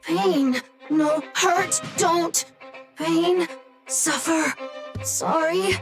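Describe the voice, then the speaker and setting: Tiny high-pitched halting broken robot. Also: female voice, creaky, exaggerated voice. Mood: pained, apologetic. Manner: halting, fragmented single-word speech. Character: small frightened malfunctioning scrap-metal robot, pleading. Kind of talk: video game character acting. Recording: loud music under the voice.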